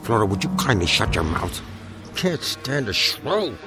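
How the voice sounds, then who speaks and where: An actor playing an obsessed, irascible older husband in a radio drama, speaking in a pompous, ranting, formal way. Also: male voice, on the low side, big pitch swings, measured pace. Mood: irritable, angry. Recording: radio drama with music underneath.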